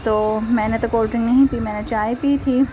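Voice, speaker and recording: Chatty young Pakistani woman vlogger, Pakistani Urdu accent, higher voice, noisy home behind her. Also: steady tone, fairly quick. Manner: chatty, laughs often, many fillers. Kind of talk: casual vlog voice-over.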